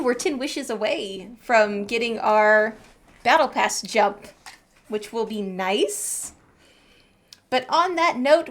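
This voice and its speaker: High-pitched, playful woman game streamer. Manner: playful, bubbly. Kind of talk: casual livestream gaming chat.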